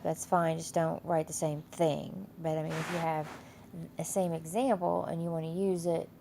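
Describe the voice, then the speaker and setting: Woman instructor, stuffy with a cold, gravelly and flat, rambling and full of fillers. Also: low-pitched, slight background noise. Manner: rambling with many fillers and restarts. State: has a cold, stuffy nose. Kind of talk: casual screen-share course walkthrough.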